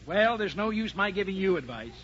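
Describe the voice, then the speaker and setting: Casting: fussy, flustered family man, high voice, earnest and exasperated, muffled old radio comedy. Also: very animated, brisk, a bit bright. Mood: impatient. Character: flustered, fussy henpecked husband and father. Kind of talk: 1940s radio comedy acting. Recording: muffled 1940s radio recording.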